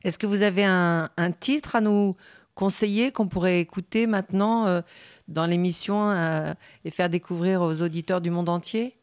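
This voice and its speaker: A woman's voice: lowish voice, steady tone, moderate pace, a little mellow, dry recording, clean studio sound. A woman hosting a radio interview who sums up her guest's words and leads into the next question.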